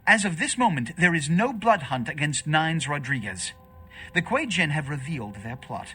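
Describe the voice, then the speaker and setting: An actor playing a haughty, scheming vampire prince in a video game, with pompous, formal, imperious speech. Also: male voice, a little high, big pitch swings, moderate pace, pushed and bright, soft background music. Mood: commanding, persuasive. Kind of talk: theatrical video game voice acting.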